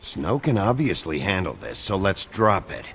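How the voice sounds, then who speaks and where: An actor playing a gruff, terse sheriff in a noir fairytale video game, blunt and laconic. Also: male voice, low voice, very animated, moderate pace, fairly bright tone, slight background noise, exaggerated voice. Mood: curt.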